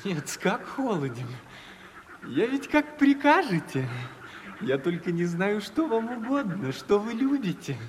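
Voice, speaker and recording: An actor playing a foolish young hanger-on, servile and simpering, in a theatrical Russian radio comedy. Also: male voice, high voice, very animated, unhurried. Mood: timid, servile. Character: obsequious, foolish young male hanger-on of a rich lady. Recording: 1970s radio play, reverberant with background noise.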